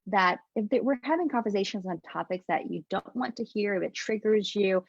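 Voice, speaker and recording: A woman's voice: medium pitch, fairly quick, no echo. A woman hosting an interview, asking careful, hedging questions. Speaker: host. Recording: dull dry lo-fi audio.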